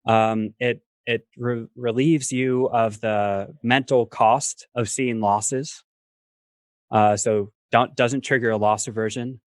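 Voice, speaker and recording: A man speaking as a guest expert on a video call, explaining step by step in a measured, academic way with worked examples. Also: mid-range voice, fairly even intonation, no echo, clean studio sound. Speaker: finance professor, guest expert. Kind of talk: explanatory talk on a livestream.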